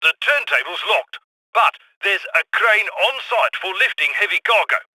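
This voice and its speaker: Game role: urgent heist coordinator on comms, high-pitched man, very bright and forceful, clipped orders. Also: animated, dry recording, full character voice, low-quality recording. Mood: businesslike. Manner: brisk, clipped, instructing. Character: urgent, scrappy male heist handler giving orders. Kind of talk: video game voice acting.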